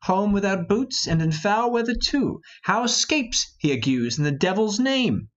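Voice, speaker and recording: A man's voice: sits high, sing-song, a little slow, fairly bright tone, dull audio, exaggerated voice. A man playing a hot-headed, mocking young rebel nobleman in a Shakespearean play reading, scoffing and impatient.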